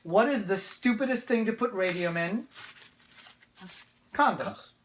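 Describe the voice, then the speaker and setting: A man presenting antiques in a casual, excited show-and-tell, chatty and quick to giggle. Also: high voice. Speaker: museum presenter showing antiques. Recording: clear background noise, clicking.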